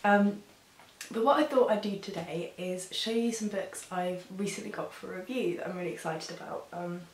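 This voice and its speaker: Husky woman with a sore throat. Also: fairly low pitch, moderate pace. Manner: chatty, frequent ums, upbeat about books. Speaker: book reviewer. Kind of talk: casual chat to camera. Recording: roomy echo with faint hiss.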